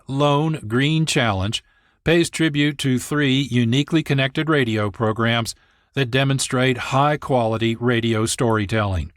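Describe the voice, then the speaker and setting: Male documentary host, mid-pitched, scripted narration in a dry studio sound. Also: a bit bright, dry recording. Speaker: producer and host.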